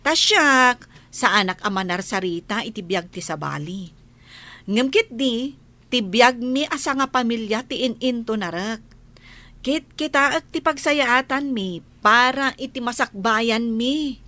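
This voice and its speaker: A woman's voice: on the high side, lively intonation, a little slow, bright, forceful tone. A woman with an Ilocano accent acting an outspoken, devout village woman who scolds gossips in a radio comedy-drama, speaking in a preachy, emphatic way. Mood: preachy, scolding.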